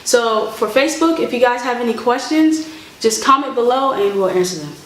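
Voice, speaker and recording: A woman's voice: fairly high pitch, expressive, brisk. Young woman vlogger, echoey room. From Florida, United States. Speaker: family vlog host, soldier. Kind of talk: casual chat to viewers, Q&A. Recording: roomy echo, faint background noise.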